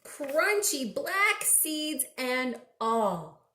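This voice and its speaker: A woman's voice: high-pitched, very animated, drawn-out words, bright, forceful tone, a little hiss or hum. A woman children's librarian reading aloud expressively to small children, prompting them with simple questions. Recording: roomy, echoing indoor recording.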